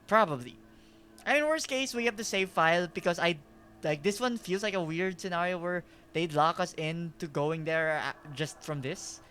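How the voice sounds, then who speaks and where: High-voiced Filipino streamer, chatty. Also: male voice, moderate pace, pushed and bright, a little hiss or hum. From Philippines. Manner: chatty, rambling, self-deprecating, laughs a lot. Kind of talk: casual game-stream chat.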